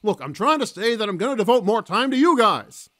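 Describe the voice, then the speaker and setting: A man's voice: high-pitched, big pitch swings, a little fast, fairly bright tone, no room sound. A man voicing a grumpy, weary father in a cartoon parody, sardonic and exasperated. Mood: earnest, sardonic. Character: grumpy, weary father trying to reconcile with family.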